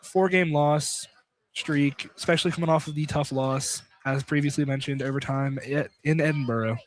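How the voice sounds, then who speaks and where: A man's voice: fairly high pitch, steady tone, normal speed, slight background noise, poor audio. A man giving unscripted pregame basketball commentary as part of a radio broadcast team.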